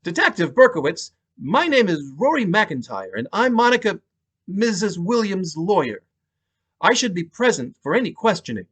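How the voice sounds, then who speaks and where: A man reads as a protective lawyer in an audiobook-style story. He speaks formally and firmly, with raised pitch and lively movement. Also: normal speed, breathy edge, heavily acted. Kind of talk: audiobook-style dramatic reading.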